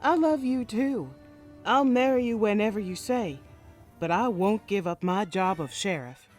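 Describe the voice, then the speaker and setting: A woman's voice: mid-range voice, measured pace, darkish tone, soft background music, lightly acted. A woman playing a proud, feisty sheriff in a comic western drama, with firm, folksy cowgirl talk. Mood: firm, hurt. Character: proud, feisty female sheriff of the Old West.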